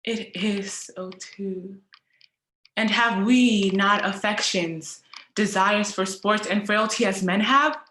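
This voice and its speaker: A woman's voice: mid-range voice, slow pace, pushed and bright. A woman playing a defiant, questioning Shakespearean wife in a classical stage monologue. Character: wronged Shakespearean wife, defiant and questioning about unfaithful husbands. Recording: echoey room, noisy with keyboard clicks.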